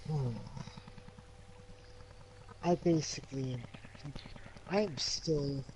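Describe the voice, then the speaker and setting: A man with a fairly high voice talks casually as a tabletop game player. He is hesitant and repeats himself, and there is faint noise in the recording. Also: extremely slow, warm tone, rough recording.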